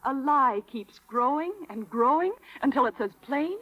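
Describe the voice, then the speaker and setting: A woman playing a gentle but stern fairy, measured and moralizing, in a 1930s radio drama. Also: high-pitched, lively intonation, poor audio. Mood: gently reproving. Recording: old radio recording with music.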